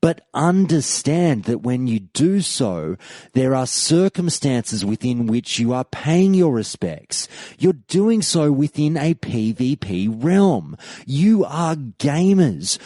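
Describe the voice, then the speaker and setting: A man hosting a gaming history podcast, giving measured, scripted commentary and reading forum posts aloud. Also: mid-range voice, warm tone, dry recording. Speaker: podcast host.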